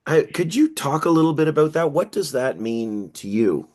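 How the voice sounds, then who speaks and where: A man's voice: a little high, big pitch swings, moderate pace, a little mellow. A male interviewer who asks questions enthusiastically and stammers a little at the start of his sentences.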